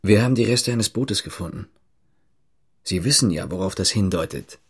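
An actor playing an insistent East German police investigator in a radio drama, asking formal, pressing questions in a slightly husky voice. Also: male voice, low voice, moderate pace. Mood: probing.

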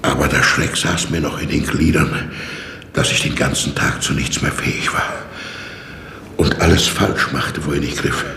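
A fussy, apologetic prison warder in an old radio play, rambling and flustered. His voice is extremely low with a gravelly creak, and it rings with long reverb. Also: steady tone, normal speed, pushed and bright, exaggerated voice. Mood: apologetic, weary. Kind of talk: 1960s German radio drama acting. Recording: echoey stone-walled reverb, noisy old radio recording.

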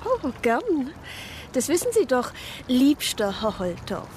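Casting: forthright deaconess for radio drama, fairly high voice, lively intonation. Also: female voice, takes it slowly, slight background noise, exaggerated voice. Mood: sweet, pert. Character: forthright deaconess, a religious sister. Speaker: actress in radio crime drama. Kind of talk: German radio play acting.

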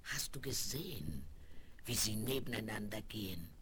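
An actress playing an old, motherly circus fortune-teller woman who soothes and probes slowly, in a radio play. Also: a bass voice, animated, a bit bright. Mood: quiet, probing. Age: older. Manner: slow, soothing, probing questions. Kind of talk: 1970s radio play acting. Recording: noisy vinyl rip with clicks.

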